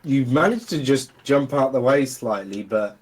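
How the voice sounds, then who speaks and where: A man narrating as dungeon master in a casual, hesitant way, with many pauses and fillers. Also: mid-range voice, expressive, breathy edge. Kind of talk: narrating a tabletop game.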